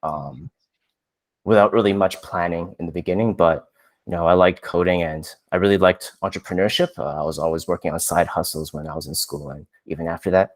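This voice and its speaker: A man in his thirties from Canada, chatting casually on a podcast, relaxed and self-deprecating with lots of fillers. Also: sits low, a little fast. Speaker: podcast co-host, software engineer.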